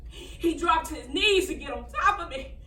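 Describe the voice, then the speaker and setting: A woman performing a dramatic monologue as a frightened, defiant young country girl, telling her story haltingly and with great emotion. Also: lively intonation, pushed and bright. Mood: tense, defiant. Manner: halting, repeating words, highly emotional storytelling. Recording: echoey room, distant mic, faint noise.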